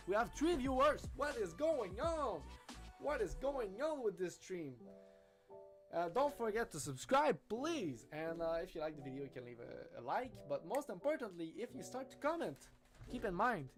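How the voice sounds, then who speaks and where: A man live-streaming his coding work, chatting casually to viewers with lots of fillers and enthusiasm. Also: sits high. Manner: rambling and chatty, many fillers, enthusiastic about his tools.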